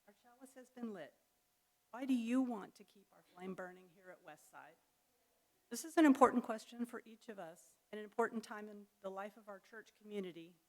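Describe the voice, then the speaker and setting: A woman's voice: mid-range voice, brisk, low-quality recording. A woman giving a prepared address to a church congregation. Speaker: congregation speaker.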